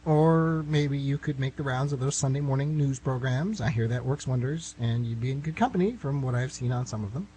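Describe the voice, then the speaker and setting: A man's voice: mid-range voice, a little fast, hardly any pauses, warm tone, lightly acted. A man playing a nervous, reluctant, wry writer in a comic radio play, with a hesitant, protesting manner. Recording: amateur recording, noisy with hum.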